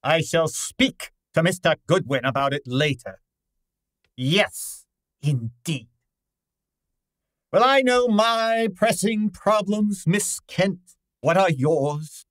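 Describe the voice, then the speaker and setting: An actor playing a pompous, cantankerous gourmet detective in a radio drama, with imperious, formal diction. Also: male voice, sits high, expressive, takes it slowly, long pauses, a bit bright, no room sound, clean studio sound, exaggerated voice. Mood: haughty, brusque. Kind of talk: old-time radio drama acting.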